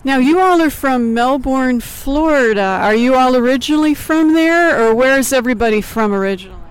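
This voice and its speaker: A woman's voice: high-pitched, expressive, normal speed, clean tone, poor audio. A woman interviewing a band outdoors in a parking lot, friendly and laughing easily. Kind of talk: casual interview.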